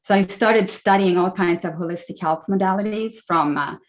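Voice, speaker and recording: A woman's voice: fairly low pitch, normal speed. A woman in her forties with a Swedish accent, chatting through a slide talk as a guest speaker on a video call. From Sweden. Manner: friendly, chatty, many fillers.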